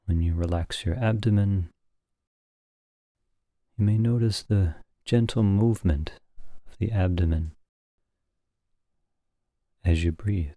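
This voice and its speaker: A man leading a guided meditation as a teacher, speaking calmly and gently in short phrases with long pauses. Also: sits low, dry recording, studio quality.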